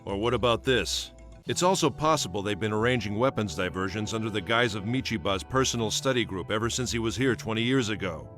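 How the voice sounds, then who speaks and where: A man's voice: lowish voice, expressive, brisk, a bit bright, faint music behind. A man playing a blunt special-ops agent in a video game, challenging and questioning what he is told. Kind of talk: English dub acting in a video game cutscene.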